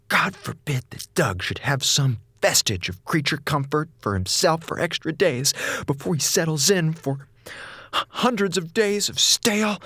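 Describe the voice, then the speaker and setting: A man's voice: on the high side, a bit bright, slightly rough, no room sound, clean studio sound, heavily acted. An actor playing a sarcastic, self-pitying male comms officer stranded in space, talking to himself in a running monologue. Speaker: communications officer. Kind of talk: audio drama acting.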